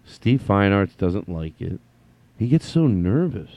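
Male solo podcast host, rambly, self-correcting, very animated pitch. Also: a little slow, dry recording. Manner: rambling, self-correcting, jumps between thoughts. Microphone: headphones on, studio mic.

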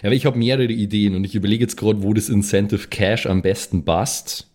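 Low-voiced man in casual, slangy chat. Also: fairly even intonation, fairly quick, darkish tone. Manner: slangy, drops in English words. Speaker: tabletop role-playing player on a podcast.

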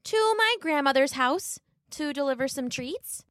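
A woman voicing a polite, cheerful little girl in a storybook, speaking in a high, earnest way. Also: lively intonation, a little slow, a bit bright, dry recording, studio quality.

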